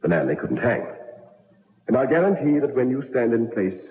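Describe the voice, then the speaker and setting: An actor playing a stern major, commanding and accusatory, in an old radio drama. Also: male voice, mid-range voice, very animated, moderate pace, natural voice. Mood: accusing. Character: stern, insistent male major interrogating suspects. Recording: muffled, echoey old radio recording.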